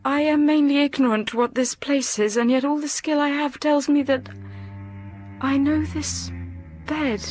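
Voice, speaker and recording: High-voiced anguished young governess. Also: female voice, fairly even intonation, darkish tone, faint music behind. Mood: dazed, fragile. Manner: breathy, halting, impassioned delivery. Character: anguished, feverish young English governess, melancholy and intense. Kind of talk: literary audio drama acting.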